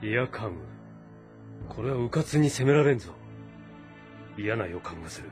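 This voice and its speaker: A man playing an earnest, cautious warlord of ancient China in a game drama, with a weighty, deliberate delivery. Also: medium pitch, animated, faint music behind, lightly acted. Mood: uneasy. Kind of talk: dramatic audio-novel acting in a video game.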